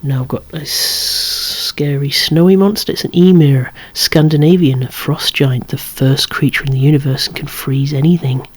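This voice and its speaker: A breathy, husky whisperer presents a collection in ASMR style. The delivery is hushed and unhurried, at a middling pitch. It wanders into musing, wry little asides. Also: fairly even intonation. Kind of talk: whispered ASMR show-and-tell.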